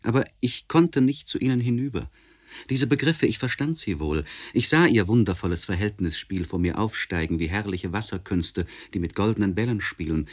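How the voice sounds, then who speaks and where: An actor playing a tormented, learned gentleman in a literary radio monologue, speaking formally and deliberately in elevated, precise language. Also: fairly low pitch, no room sound, clean studio sound, lightly acted. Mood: melancholy, lonely. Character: anguished, learned gentleman writing a confessional letter, tormented and precise.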